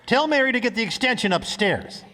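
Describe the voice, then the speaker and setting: A man's voice: extremely high pitch, sing-song, brisk, pushed and bright, heavily acted. An actor playing a boisterous, pushy young businessman, exclaiming his lines in a live old-time radio play. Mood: brash. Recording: echoey hall, live stage pickup.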